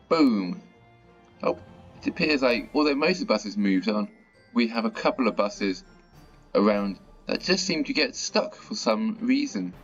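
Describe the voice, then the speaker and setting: A man with a croaky, slightly sore-throated voice, chatting casually as he plays and comments on a bus management game. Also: mid-range voice. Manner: chatty, mildly exasperated at stuck buses. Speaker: gaming channel host. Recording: dull lo-fi audio with faint music.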